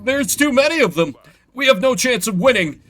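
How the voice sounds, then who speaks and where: A man voicing an urgent, alarmed soldier in a medieval fantasy game, speaking loudly. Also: very high-pitched, animated, normal speed, pitched above the performer's own voice. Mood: panicked. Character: urgent soldier reporting to his king.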